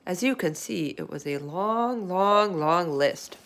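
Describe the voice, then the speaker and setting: Lady reading as a kind little classroom hamster, storybook style, earnest, lowish creaky voice. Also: animated, slow pace, fairly bright tone, a little hiss or hum, heavily acted. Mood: earnest, musing. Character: small chatty classroom hamster, male, kind and observant storyteller.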